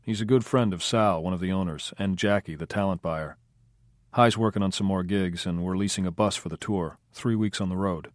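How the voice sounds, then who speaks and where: Man voicing cool, deadpan guy, low voice, dry close read. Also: fairly quick, dry recording, natural voice. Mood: laconic. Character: cool, dry-witted male film producer.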